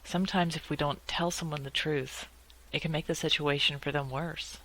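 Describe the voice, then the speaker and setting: A woman leading a guided meditation in a calm, measured way, leaving long pauses between short phrases. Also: sits low, rough recording.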